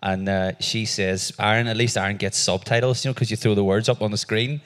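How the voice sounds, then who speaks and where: Fast-talking Northern Irish man. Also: lowish voice. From Northern Ireland. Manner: fast-talking, animated and jokey. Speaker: visiting worship musician. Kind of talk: conversational church interview.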